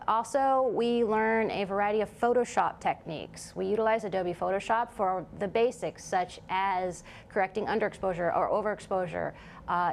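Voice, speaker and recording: Woman, gravelly fry, medium pitch, instructor, explanatory interview talk, slight background noise. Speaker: digital design instructor.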